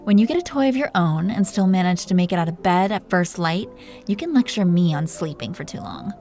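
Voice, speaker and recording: A woman's voice: lowish voice, animated, brisk, dark tone, soft background music. A woman playing a commanding, playful, possessive dragon queen in an intimate roleplay audio drama. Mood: playful, warm. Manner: imperious, playful, teasing.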